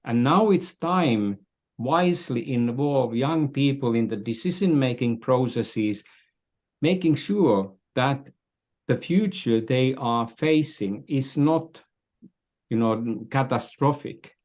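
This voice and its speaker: Slow-spoken older Finnish-accented man. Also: medium pitch, expressive, a little mellow. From Finland. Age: 60s. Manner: measured, halting phrasing. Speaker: podcast guest, business coach. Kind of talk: thoughtful interview talk.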